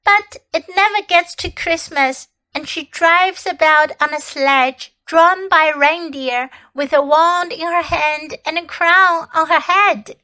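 A woman voicing an eager, earnest little girl who talks breathlessly and excitedly in an audiobook. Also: very high-pitched, voice pushed up, heavily acted, poor audio. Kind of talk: audiobook character voice.

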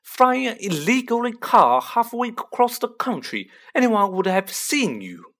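A narrator voicing a scolding, bustling middle-aged mother witch in an audiobook, fussy but fond, with sharp exclamations. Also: mid-range voice, very animated, moderate pace, pitched above the performer's own voice. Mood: fussing, worried.

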